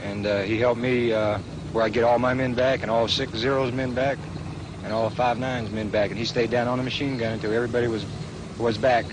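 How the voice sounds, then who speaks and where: A man, a soldier, giving a halting spontaneous account in an outdoor field interview. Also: mid-range voice, flat delivery, noisy background.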